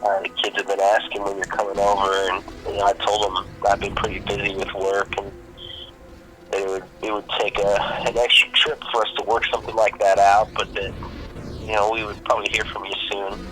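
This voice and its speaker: A man playing a worried friend leaving a rambling voicemail in a fiction podcast, halting and casual, with a gravelly voice. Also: on the low side, flat delivery, music in the background, natural voice, poor audio. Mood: worried, gently pleading. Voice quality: gravelly, creaky.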